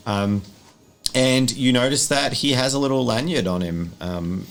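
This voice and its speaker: A man's voice: medium pitch, a little slow. A man narrating a live tabletop horror game as game master and calling for rolls.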